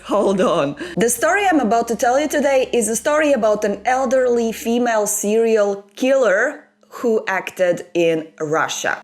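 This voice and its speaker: A young woman from the Baltic states, not a native English speaker, telling a true crime story to camera in a chatty way full of asides, at a desk microphone in a small room at home. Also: fairly high pitch. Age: young adult. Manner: chatty, animated, frequent asides. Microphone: desktop microphone in front of her.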